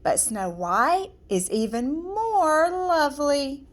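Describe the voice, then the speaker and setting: A woman voicing a frank, matter-of-fact magic mirror in a storybook, speaking deliberately. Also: high voice, big pitch swings, exaggerated voice.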